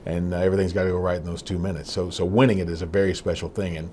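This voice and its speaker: Fast-talking low-voiced middle-aged man. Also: little pitch movement, a little mellow, slight background noise. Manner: quick, storytelling, many restarts. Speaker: racetrack communications executive. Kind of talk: studio interview answers. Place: small TV studio set.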